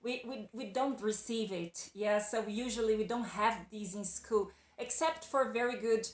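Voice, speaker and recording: A woman's voice: a little high, normal speed, reverberant. A Brazilian woman with a Brazilian accent, speaking English as a second language, giving a chatty, encouraging English lesson. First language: Portuguese. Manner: chatty, encouraging, restarts phrases often. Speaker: English teacher. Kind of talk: casual video lesson.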